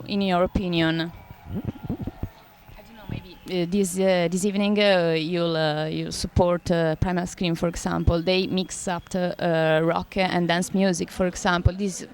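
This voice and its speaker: A woman from Italy, an interviewer asking questions hesitantly with many fillers. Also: mid-range voice. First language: Italian. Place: festival backstage. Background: loud music.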